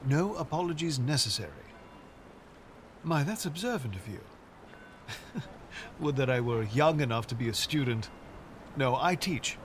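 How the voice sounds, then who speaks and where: An actor playing a genial, courteous schoolteacher in an audio drama, polite and wordy. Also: male voice, mid-range voice, animated, a little slow, long pauses, natural voice. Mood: affable. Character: genial, courteous male schoolteacher, no longer young. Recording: noticeable street ambience noise.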